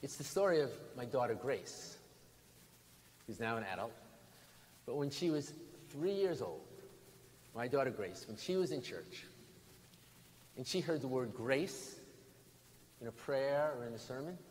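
Older American preacher in an echoing church. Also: male voice, medium pitch, big pitch swings, a little mellow. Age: 60s or older. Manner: deliberate, emphatic phrasing with pauses and repetition. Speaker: bishop preaching. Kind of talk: sermon with storytelling. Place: large stone church interior, at lectern. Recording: reverberant church hall, background noise.

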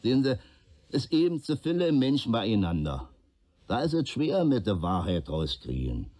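An actor playing a talkative, self-justifying peddler accused of arson in a radio play, colloquial, rambling and sardonic. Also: male voice, on the low side, mellow.

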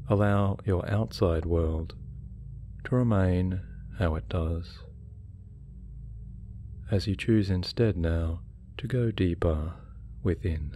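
A man guiding a hypnosis meditation in a soothing, measured way with deliberate pauses. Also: low voice, warm tone. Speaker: hypnotherapy guide. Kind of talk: guided meditation, hypnosis narration. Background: relaxation music.

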